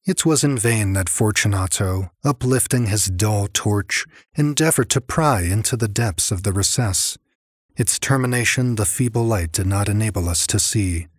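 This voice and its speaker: A man narrating a gothic tale in a measured, theatrical, dramatic audiobook style. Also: lowish voice, husky, dry recording, clean studio sound. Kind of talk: dramatic gothic story narration, in character as first-person narrator.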